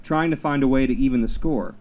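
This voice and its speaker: A man reads his own personal essay aloud at a literary event. His voice is relaxed and mellow, with a middling pitch. He delivers each line in a measured, wry storyteller's manner. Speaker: writer reading own essay.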